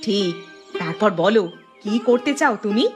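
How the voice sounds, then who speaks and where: A woman's voice: medium pitch, expressive, normal speed, faint music behind, natural voice. A woman playing a teasing, doubting village wife in a cartoon, with a coaxing way of talking. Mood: teasing, curious. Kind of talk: Bengali cartoon dialogue acting.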